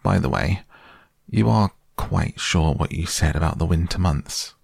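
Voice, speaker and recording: A man's voice: sits low, unhurried, vocal fry, husky, no echo, clean studio sound, heavily acted. Man playing a small, nervous storybook piglet, Piglet. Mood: anxious. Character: small, timid, anxious male toy piglet.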